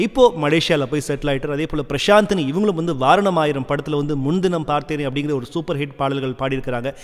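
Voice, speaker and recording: A man's voice: a little high, fast talker. A man hosting a radio show, speaking fluently and conversationally with English words mixed in. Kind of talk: radio presenting between classic songs. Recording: long reverb on the voice.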